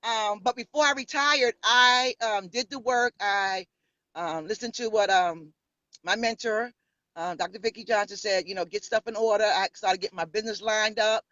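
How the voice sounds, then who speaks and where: A woman's voice: medium pitch, normal speed, sharp tone, no room sound, muffled recording. A woman in her fifties from New York, with a New York accent, telling her story in a bold, sassy way as an interview guest. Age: 50s. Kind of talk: casual interview.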